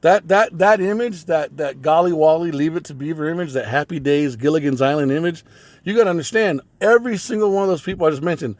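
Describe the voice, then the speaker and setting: A man's voice: a little high, fast, a little mellow, dry recording. Blunt, profane man ranting casually. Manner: blunt, emphatic, swears heavily. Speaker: religious commentator.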